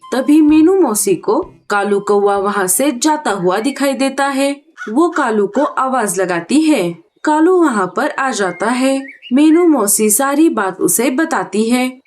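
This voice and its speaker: A woman narrator with a fairly high voice and an unhurried pace. She reads a children's cartoon story with music behind her. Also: expressive, a little mellow.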